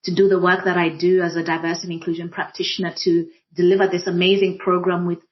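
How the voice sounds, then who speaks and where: Kenyan woman, deliberate, in slightly dull audio. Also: on the low side, little pitch movement. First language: Swahili. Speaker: panel guest, diversity and inclusion practitioner. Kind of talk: reflective personal account in a group discussion.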